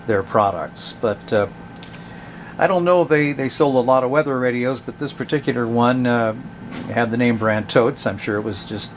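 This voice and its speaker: A man hosting a casual, unscripted product review, talking in a hesitant, halting, conversational way. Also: mid-range voice, a little hiss or hum. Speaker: host of a vintage radio review channel.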